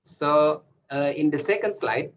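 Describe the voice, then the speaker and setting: A man with an Indonesian accent giving a webinar presentation as a financial regulator, halting with many fillers and self-deprecating remarks. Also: fairly high pitch. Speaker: invited speaker, financial regulator. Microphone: video call mic. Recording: slightly dull video call audio with faint noise.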